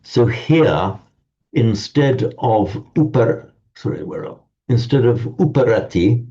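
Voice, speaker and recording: A man teaching a Sanskrit class in a relaxed lecture manner, often stammering and restarting words. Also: mid-range voice, slow, husky. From parents from Ireland. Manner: hesitant, repeats and restarts words. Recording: dull lo-fi sound.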